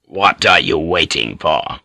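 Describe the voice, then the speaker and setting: A man voicing a cold, ruthless Japanese feudal warlord in an audiobook, curt, commanding and deliberate. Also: sits low, pushed and bright, no room sound, studio quality, voice pushed down, heavily acted. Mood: harsh, impatient. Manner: curt, menacing, slow and deliberate. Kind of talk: dramatic audiobook character reading.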